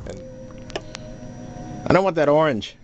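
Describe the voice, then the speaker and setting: A man giving an informal hands-on tutorial as a DIY presenter, explaining step by step in a halting way. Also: fairly high pitch, big pitch swings. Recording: dull lo-fi camera audio, loud noise.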